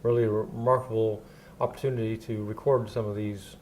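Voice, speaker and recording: A man's voice: on the low side, level tone, darkish tone, slight background noise. A man interviewing an older journalist, prompting him and finishing his thoughts. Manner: brisk, prompting and filling in words. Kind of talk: interview questions.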